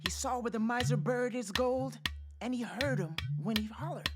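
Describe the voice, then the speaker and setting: A woman narrating rhyming fables for a radio drama in a theatrical, expressive, emphatic style. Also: fairly high pitch, normal speed. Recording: loud music behind narration.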